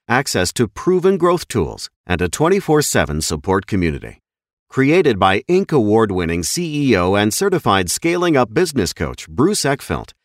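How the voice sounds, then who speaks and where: Low, animated male promo voice. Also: no echo, studio quality. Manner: pitching, promotional. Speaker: ad voice-over. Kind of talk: scripted promo read.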